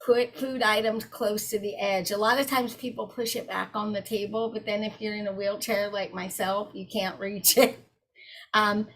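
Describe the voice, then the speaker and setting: A woman's voice: medium pitch, level tone, normal speed, rough recording. Woman giving a direct talk. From South Carolina. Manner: warm, direct, addresses audience as y'all. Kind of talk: conversational presentation.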